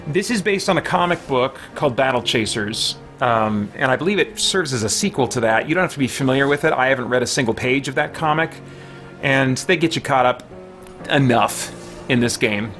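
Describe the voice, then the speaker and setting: A man's voice: medium pitch, expressive, a little fast, fairly bright tone. A man in his thirties or forties doing casual, rambling game commentary, thinking aloud and correcting himself as he goes. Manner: rambling, self-correcting, chatty. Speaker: live-stream gaming host. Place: small home room, indoors. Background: game music.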